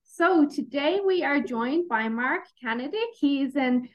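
A woman's voice: sits high, animated, unhurried. A woman with an Irish accent, hosting an interview podcast and introducing her guest.

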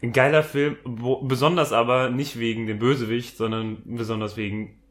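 A man co-hosting a casual podcast at a middle pitch. He speaks in a laid-back way with a dry, amused edge. His talk stays easy and conversational. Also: flat delivery, normal speed. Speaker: podcast co-host. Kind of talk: casual chat.

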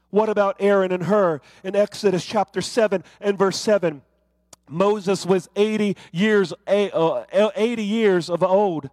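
A male preacher with a high, bright-edged voice, working through his sermon with rising, rhythmic refrains. The sound is dry and close, with no room around it. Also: no room sound.

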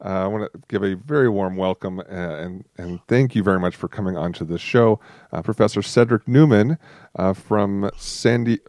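A man's voice: fairly low pitch, normal speed, a little mellow, no room sound, clean studio sound. A man hosting a podcast, chatting casually with many ums and uhs. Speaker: podcast host. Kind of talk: casual chat.

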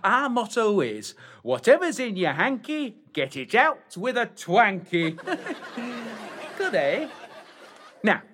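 A brash pantomime dame in an audio drama, all shrill sing-song swoops and cackles. The voice leaps high and wide, yet stays slow and husky underneath. It plays to the crowd with hammy relish. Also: male voice, very animated, sharp tone, heavily acted. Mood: boastful. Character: brash pantomime dame, loud, flirty widow.

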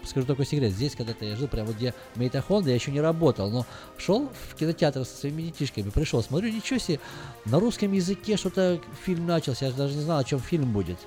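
A man's voice: on the high side, animated, mellow. A man co-hosting a casual radio chat show, telling stories in a chatty way. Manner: fast, chatty storyteller. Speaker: radio co-host. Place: radio studio. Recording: faint music under the talk.

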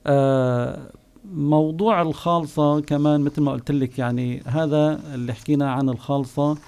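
A man with a Palestinian Bedouin accent, a guest recalling his home village, pausing often with long filler sounds. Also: fairly high pitch, steady tone, a little mellow, clean tone. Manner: unhurried storyteller, pauses often with drawn-out "ah" fillers. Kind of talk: reminiscing oral history on a call-in show.

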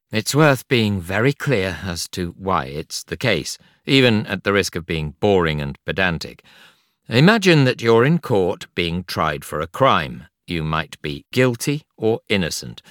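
A man reading a non-fiction audiobook in a measured, articulate and expressive way. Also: sits low, no room sound, clean studio sound. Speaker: audiobook narrator. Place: studio.

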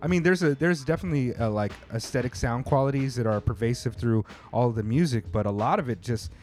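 A man's voice: medium pitch, fast talker, a little mellow. A man hosting a casual conversation, talking in a loose, slangy way and swearing freely. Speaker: host. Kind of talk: casual chat.

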